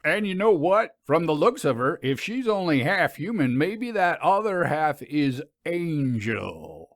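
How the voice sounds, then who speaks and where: A man's voice: on the high side, sing-song, no room sound, studio quality, pitched above the performer's own voice. Man acting a folksy, swooping Southern preacher. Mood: proud, theatrical. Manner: oratorical, drawn-out emphatic delivery. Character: folksy bellowing Southern minister, commanding showman preacher. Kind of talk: audiobook character reading.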